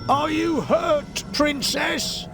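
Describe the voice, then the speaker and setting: A hearty, brave game knight, who declaims everything like a hero. His voice is gravelly and swings up high, with loud music behind. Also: very slow, exaggerated voice. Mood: triumphant, loyal. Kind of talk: video game character acting.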